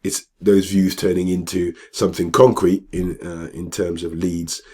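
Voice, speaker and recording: A man whose voice is hoarse and croaky from a cold, sitting very low in pitch. He talks casually through what is on his screen, hesitating often with fillers and restarting his sentences. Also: level tone, normal speed, darkish tone. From England. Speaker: business vlogger. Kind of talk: screen-share walkthrough talk.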